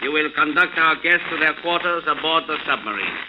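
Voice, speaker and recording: An actor playing a formal, menacing villainous official, measured in delivery, in a 1940s radio drama. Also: male voice, a little high, animated, highs cut off, natural voice. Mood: menacing, commanding. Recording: noisy old radio recording.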